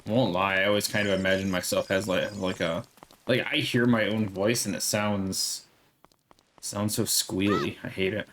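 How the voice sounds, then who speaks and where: Deep-voiced man streaming a game. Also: fairly even intonation, moderate pace, fairly bright tone, slight background noise. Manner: chatty, thinks aloud, answers chat, swears casually. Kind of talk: casual gameplay commentary. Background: game music.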